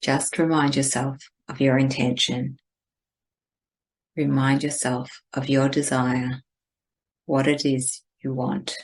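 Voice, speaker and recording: A woman with an exceptionally low voice guides a spiritual meditation. She speaks slowly and deliberately, giving gentle instructions in a measured way. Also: little pitch movement, rough recording.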